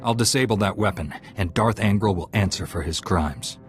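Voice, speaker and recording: Man acting low-voiced steadfast young hero knight. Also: moderate pace, soft background music, close to an everyday voice. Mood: determined. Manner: earnest, determined. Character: steadfast, heroic young male Jedi knight. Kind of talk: scripted video game dialogue.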